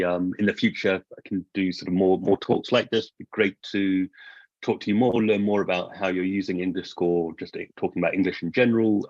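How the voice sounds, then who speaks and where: A man who is the guest speaker on a remote call, talking in a friendly, relaxed way with hesitant fillers. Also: deep voice, no room sound. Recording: dry lo-fi call audio.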